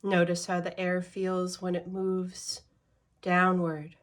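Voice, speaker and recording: A woman leading a guided meditation in a measured way, leaving long pauses between short phrases. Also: fairly low pitch, completely flat delivery.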